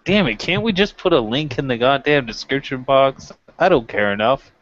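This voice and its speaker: A man's voice: mid-range voice, big pitch swings, normal speed. A man co-hosting a casual wrestling podcast, bantering in a blunt, sweary, self-deprecating way. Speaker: podcast co-host. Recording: dull lo-fi microphone sound.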